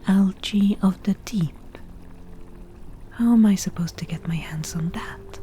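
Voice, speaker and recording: A woman playing a crafty, slightly defensive forest witch in an ASMR roleplay, speaking in a soft breathy whisper with measured, dry delivery. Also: mid-range voice, a little hiss or hum, lightly acted. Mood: reluctant, grudging. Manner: slow, measured, matter-of-fact with dry asides. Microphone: close ASMR microphone.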